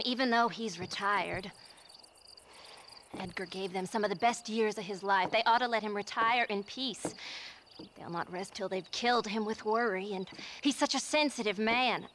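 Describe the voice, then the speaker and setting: An actress playing a middle-aged, devoted wife in a Western game, genteel and fretful. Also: female voice, mid-range voice, fairly bright tone, slight background noise, close to an everyday voice. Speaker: video game character.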